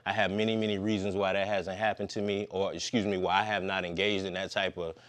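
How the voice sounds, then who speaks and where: A man's voice: sits low, little pitch movement, quick pace, a bit bright. A man in his fifties talking as a podcast guest in a laid-back, slangy, long-winded way. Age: 50s. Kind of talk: casual conversation.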